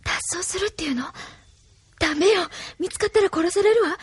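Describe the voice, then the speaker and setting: High-voiced, troubled young woman. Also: a little fast, dry recording. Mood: anxious pleading. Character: troubled, self-reproaching young woman, wistful and resigned. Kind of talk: anime audio drama acting.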